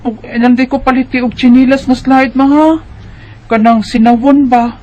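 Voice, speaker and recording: A woman's voice: high-pitched, flat delivery, moderate pace, mellow, natural voice, low-quality recording. A woman with a Cebuano Bisaya accent playing a tired, worried teenage schoolgirl who complains and questions in a radio drama. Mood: weary, whiny. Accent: Cebuano Bisaya Filipino.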